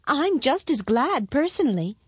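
Woman putting on a high, blunt princess. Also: very animated, moderate pace, no room sound, clean studio sound. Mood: disdainful. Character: blunt, fussy young princess.

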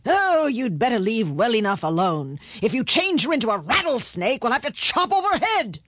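A woman's voice: a little high, sing-song, normal speed, fairly bright tone, slightly rough, no echo, clean studio sound, pitched above the performer's own voice, exaggerated voice. Woman playing a blustering king, raised and emphatic. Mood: exasperated. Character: exasperated, blustering male king. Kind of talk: storybook character voice.

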